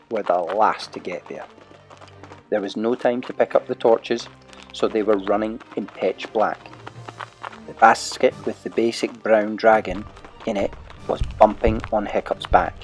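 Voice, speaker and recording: A man narrating a children's adventure story in a measured, dramatic way, dropping to a hush at tense moments. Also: on the low side, rough recording. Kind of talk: audiobook-style storybook narration.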